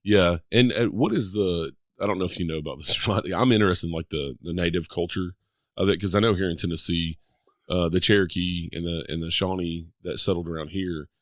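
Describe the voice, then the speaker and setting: A man's voice: sits low, fairly quick, no echo, studio quality. A man hosting a podcast, easygoing and hesitant, with plenty of fillers. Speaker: podcast host. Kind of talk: casual interview chat.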